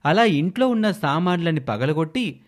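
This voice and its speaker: A man narrating an animated moral tale in a scripted storytelling style. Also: on the high side, normal speed.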